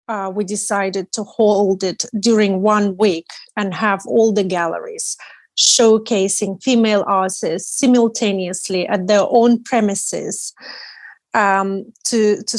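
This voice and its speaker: Guest artist, woman, forties, slow and careful delivery, dry close mic. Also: mid-range voice, no room sound. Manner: measured, careful, many restarts. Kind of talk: interview answers.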